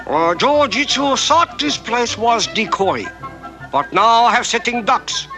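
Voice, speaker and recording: A man's voice: very high voice, lively intonation, measured pace, sharp tone, heavily acted. An actor voicing a polite, clever little male detective sidekick in a 1960s TV cartoon, with clipped broken English and excessive courtesy. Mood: formal. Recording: music bed under dialogue.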